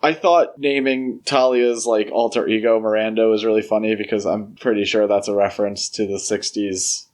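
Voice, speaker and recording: A man's voice: on the low side, steady tone, normal speed, few pauses, a little mellow. A man co-hosting a podcast in a casual discussion style, speaking informally and peppering his sentences with "like". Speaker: podcast co-host.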